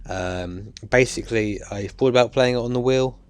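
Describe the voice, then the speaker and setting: A young man, chatting casually and hesitantly about a racing game he is playing, his voice croaky. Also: mid-range voice, moderate pace. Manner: rambling, hesitant, with many ums. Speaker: gaming YouTuber. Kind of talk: casual gameplay commentary.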